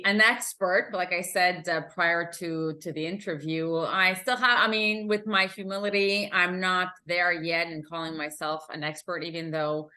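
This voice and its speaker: Low-voiced French-Canadian woman, candid. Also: sharp tone. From Montreal, Quebec, Canada. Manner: open, candid, with fillers and restarts. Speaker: guest, emotional intelligence coach. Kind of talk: conversational interview.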